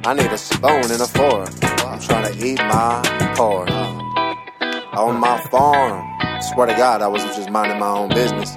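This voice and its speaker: A man's voice: on the low side, fairly even intonation, unhurried, over loud music. A man freestyle rapping in a laid-back, rambling way. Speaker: rapper on a played track. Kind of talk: freestyle rap over a beat. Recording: track with loud hip hop beat.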